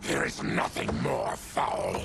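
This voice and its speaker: An actor voicing a stern, honorable monster warrior in a video game, with a gruff growl and blunt, terse delivery. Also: male voice, takes it slowly, noisy background, close to an everyday voice. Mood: fierce. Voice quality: gravelly, growling. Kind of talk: dramatic video game cutscene acting.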